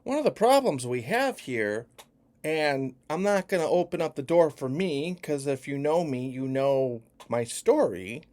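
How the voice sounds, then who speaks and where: A man from Chicago giving an emphatic, profane opinion rant that swings between hushed and loud. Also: on the high side, sing-song, unhurried. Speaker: vlogger.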